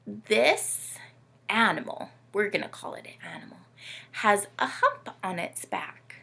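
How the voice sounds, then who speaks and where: Animated woman storytime host, bright creaky voice. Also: a little high. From Canada. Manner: animated, teacherly, asks listeners questions. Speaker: children's read-aloud host. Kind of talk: children's storytime presenting.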